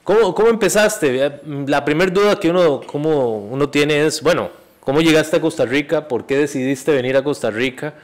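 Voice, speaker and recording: A man's voice: a little high, normal speed, hardly any pauses. A man co-hosting a podcast, asking the guest curious, direct questions in a casual tone.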